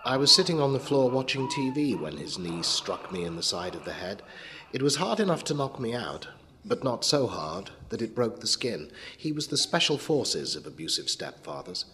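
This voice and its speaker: A man reading a short story as a dramatic narrator, in a measured and sombre manner. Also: fairly low pitch, big pitch swings, a bit bright. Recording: long hall reverb, clear background noise.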